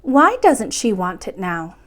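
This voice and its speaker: A woman's voice: medium pitch, big pitch swings, a little slow. A woman voicing a sweet, curious little princess in a storybook, polite and innocent.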